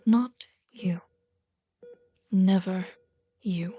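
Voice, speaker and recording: Slow, intense woman acting a lovelorn villain. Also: mid-range voice, warm tone, muffled recording. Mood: hushed, bitter. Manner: intense, deliberate, often dropping into breathy whispers. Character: bitter, lovelorn villain pleading with a hero's wounded sidekick. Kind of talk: intimate ASMR roleplay acting.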